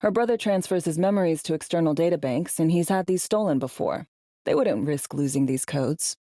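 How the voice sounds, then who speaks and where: A low female voice, recorded close and dry, plays a worldly spy for a video game. She talks in a clipped, slangy way, with a sardonic edge and the weariness of someone long in the field. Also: expressive, fairly quick, warm tone, no room sound. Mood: casual, candid. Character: world-weary, wry female undercover spy in a dystopian city. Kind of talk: video game voice acting, conversational dialogue.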